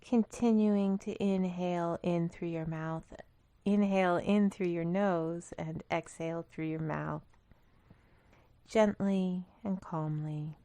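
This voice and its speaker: A woman leading a guided meditation in a calm, gentle, instructional manner. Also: fairly low pitch, slow pace, dry recording. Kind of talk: guided body scan meditation.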